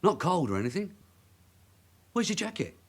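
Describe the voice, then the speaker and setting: Shrewd older detective in a radio play, dry n sardonic, very animated pitch. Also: male voice, moderate pace, breathy edge. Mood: wry, probing. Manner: dryly sardonic, probing questions. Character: shrewd veteran male detective sergeant, dry and wily. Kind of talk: radio drama acting.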